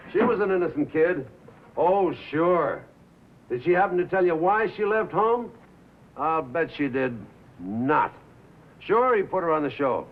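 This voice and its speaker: Casting: brash American bandleader, defensive wise guy, animated higher voice, 1950s film drama. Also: a little hiss or hum. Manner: slangy, cocky, punchy street talk. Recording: muffled vintage film soundtrack.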